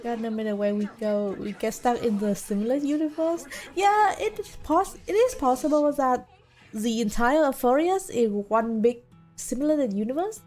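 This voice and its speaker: Female game streamer: casual commentary in a fairly high voice, game music faintly behind. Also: expressive, moderate pace, darkish tone. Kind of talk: casual live gameplay commentary.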